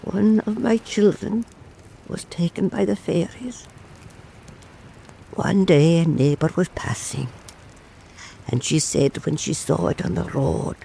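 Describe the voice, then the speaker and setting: A man's voice: a little high, slow, creaky, pauses often, warm tone, slight background noise, poor audio. An actor playing a shaky, boastful old half-blind storyteller man in a radio drama. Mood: grave, hushed.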